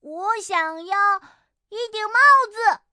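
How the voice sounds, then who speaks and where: A woman's voice: very high-pitched, slow pace, bright, forceful tone, dry recording, clean studio sound, pitched above the performer's own voice, heavily acted. A woman voicing an eager, sweet-natured little storybook bear cub with a small childlike voice, exclaiming earnestly. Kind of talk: storybook character voice.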